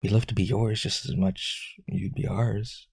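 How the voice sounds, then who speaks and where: Man acting as low, very raspy vampire Skylar. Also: very animated, a little mellow, dry recording, studio quality, full character voice. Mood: hopeful. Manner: intense, coaxing, begging, halting. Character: Skylar, powerful rich male vampire aristocrat, desperately devoted. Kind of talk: roleplay audio acting.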